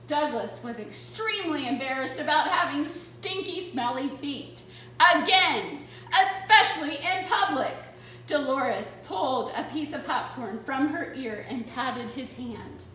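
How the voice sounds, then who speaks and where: Woman, expressive storybook reading, swooping lively pitch, slow pace, distant mic with heavy echo, faint noise. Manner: expressive, dramatic. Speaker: children's storyteller. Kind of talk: animated picture-book read-aloud.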